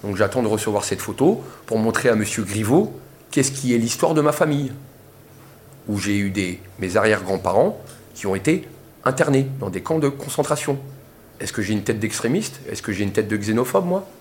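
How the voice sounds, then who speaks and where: A man in his thirties from Portugal speaks at a press interview with a low voice, impassioned and insistent. He drives his points home by repeating them, with faint noise behind him. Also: expressive, brisk, darkish tone. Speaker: protester giving a press interview. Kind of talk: press conference statement.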